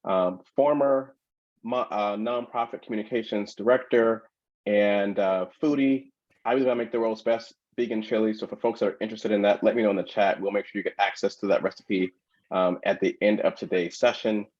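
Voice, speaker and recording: A low-pitched man hosts an online conference session in an encouraging, easygoing way, padding his talk with fillers. His voice comes through dull, lo-fi video-call audio. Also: steady tone, fairly quick. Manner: warm, encouraging, lots of fillers. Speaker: host and workshop presenter. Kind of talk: welcoming conference webinar talk.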